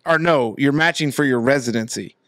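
A man hosting a laid-back podcast, reading out a news piece before drifting into loose banter. His voice sits at an ordinary middle pitch. The sound is close and dry, with no room echo. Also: fairly quick, fairly bright tone. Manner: reads news aloud, then chats loosely. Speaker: podcast host. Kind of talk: casual chat.

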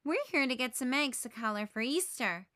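A woman's voice: high voice, animated, moderate pace, sharp tone. A woman voicing a bossy, brash tomboy girl in a children's storybook, easily exasperated. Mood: blunt. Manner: brash, emphatic.

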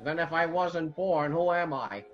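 A man's voice: on the high side, a little slow, lo-fi sound, lightly acted. A man playing an agitated, impatient family man in a radio drama, stammering and incredulous. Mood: confused, urgent.

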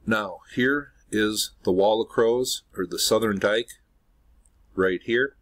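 A man with a fairly low voice talks over a screen recording, earnest and speculative, stacking up claims with "obviously". The delivery is a casual, unscripted voice-over made at a home desk. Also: takes it slowly. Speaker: video host.

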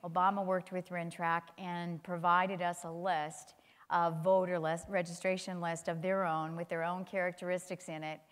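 A woman in her sixties speaking on a conference panel, animated and gesturing as she talks. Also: lowish voice, normal speed. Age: 60s. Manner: animated, gestures while talking. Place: large conference hall stage. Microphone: lapel mic. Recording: long hall reverb.

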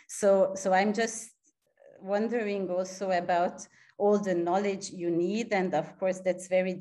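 Low-voiced woman. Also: dark tone. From Hungary. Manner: searching, many restarts and fillers. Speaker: audience member asking a question. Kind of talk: online seminar question.

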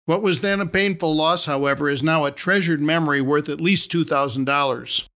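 A middle-aged man reading his own story aloud as a podcast host, in a measured, wry storytelling manner. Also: a little high, a bit bright. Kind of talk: scripted storytelling narration.

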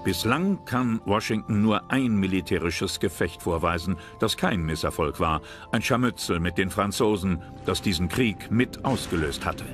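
Male narrator for history documentary, deep voice, scripted read over music. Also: animated, normal speed, few pauses, fairly bright tone.

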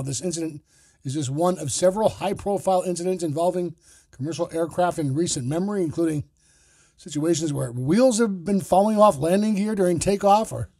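Host-reporter, male, husky and mellow, dry close mic. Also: fairly high pitch, no echo. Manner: measured, explanatory delivery. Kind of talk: scripted news narration.

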